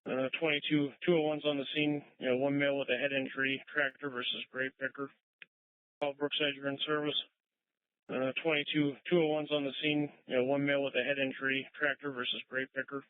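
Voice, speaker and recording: A man's voice: mid-range voice, flat delivery, brisk, smooth, no room sound. A man speaking terse emergency dispatch traffic over a two-way radio. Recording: phone-like, dry police scanner radio.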